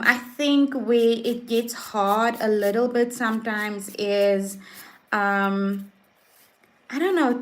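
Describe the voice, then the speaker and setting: A South African woman, whose first language is Afrikaans, talks with her followers during a casual livestream. She speaks slowly and softly at a medium pitch, stopping often for ums and restarts. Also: low-quality recording. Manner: soft-spoken and unhurried, with many ums and restarts. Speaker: lifestyle vlogger.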